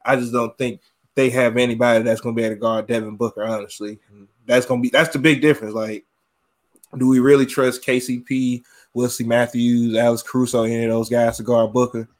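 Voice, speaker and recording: A man co-hosting a sports podcast, walking through his picks in a steady, methodical way. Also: mid-range voice, brisk. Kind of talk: casual sports analysis.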